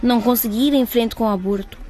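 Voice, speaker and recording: A woman's voice: fairly high pitch, fairly even intonation, fast, darkish tone, a faint crowd, close to an everyday voice. A woman playing a frightened, confused young woman in a radio drama, hesitant, polite and apologetic. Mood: unsure, shaken.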